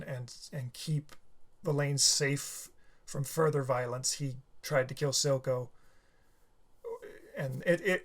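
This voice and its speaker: Tired man rambling in a reaction video. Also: a little high. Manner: halting, many restarts and fillers. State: tired, slightly sore throat. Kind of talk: casual rambling commentary.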